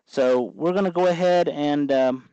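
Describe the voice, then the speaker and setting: A man hosting a casual hands-on tutorial, explaining in a halting way as he works. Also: a little high, dark tone, clean tone, no room sound, lo-fi sound. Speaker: host of a DIY electronics tutorial.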